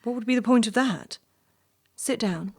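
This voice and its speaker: An actress playing a clinical, pedantic female job interviewer in a stage play, with a clipped, probing and deliberate manner. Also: on the high side, darkish tone, slight background noise, natural voice. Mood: brisk, clinical.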